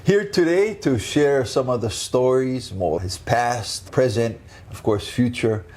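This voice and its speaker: Guy hosting, eager questions, middle pitch. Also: a little slow, a little mellow. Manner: eager, prompting questions with local words. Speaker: host. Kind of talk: interview hosting.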